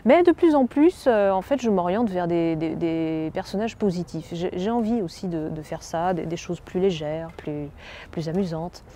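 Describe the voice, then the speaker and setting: A young woman from France, an actress, speaking in a slightly low, mellow voice. She muses aloud, halting often and circling back over her words. Faint background noise sits under her voice. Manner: musing, halting, many fillers and restarts. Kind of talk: self-presentation interview.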